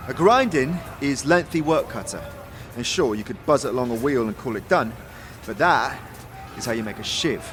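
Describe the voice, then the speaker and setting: Guy doing a chill young smith teaching his craft, fantasy audio drama, expressive, music behind him. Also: medium pitch, moderate pace, lightly acted. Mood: patient, instructive. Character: easygoing young foundry craftsman, patient mentor.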